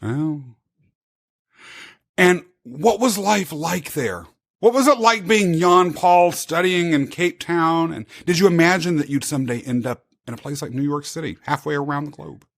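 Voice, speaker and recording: A man's voice: fairly high pitch, moderate pace, vocal fry, fairly bright tone. A man hosting a podcast interview with an upbeat, effusive manner. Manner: upbeat, effusive, laughs easily. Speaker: podcast host.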